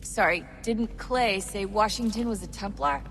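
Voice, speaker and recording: A woman's voice: medium pitch, unhurried, over music, natural voice. An actress playing an easygoing, supportive young woman on a covert team in a video game, casual and friendly. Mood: curious. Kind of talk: video game cutscene acting.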